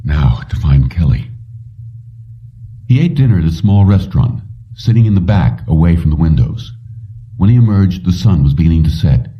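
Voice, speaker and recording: A man with a very low voice narrates a story from a script. The sound is dull and muffled, as if he sits well away from the microphone. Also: sing-song, normal speed, pauses often, recorded from a distance. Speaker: audiobook reader.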